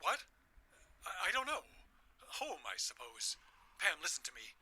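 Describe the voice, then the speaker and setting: An actor playing a frantic, loving old grandfather in an audio drama, speaking urgently and haltingly. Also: high voice, huge pitch swings, sharp tone, full character voice. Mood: anxious, urgent.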